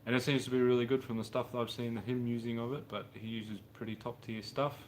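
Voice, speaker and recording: A man's voice: medium pitch, level tone, fast, background noise. A man in his thirties with an Australian accent, chatting casually and rambling on a livestream from his car workshop. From Brisbane, Australia. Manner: laid-back, rambling, slangy. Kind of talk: casual livestream chat answering viewers. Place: home mechanic workshop, car on a hoist.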